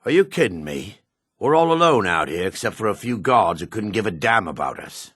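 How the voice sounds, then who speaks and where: An actor playing a gruff, wary, embattled male dark elf with a raspy, gravelly voice in a fantasy video game. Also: fairly low pitch, no room sound, studio quality, heavily acted. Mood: combative, angry, then wary, bitter.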